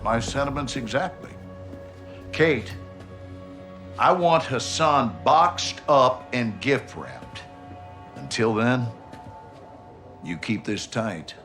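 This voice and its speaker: An actor playing a gruff middle-aged army general who gives blunt, profane, clipped orders. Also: male voice, mid-range voice, expressive, slow pace, pauses often, a bit bright, soft background music. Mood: stern.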